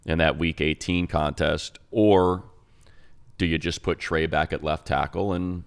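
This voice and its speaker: A man's voice: very deep voice, steady tone, unhurried, vocal fry, clear, resonant tone. An American man co-hosting a sports talk show, a radio announcer breaking down team news conversationally, thinking aloud with restarts. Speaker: radio play-by-play announcer and co-host.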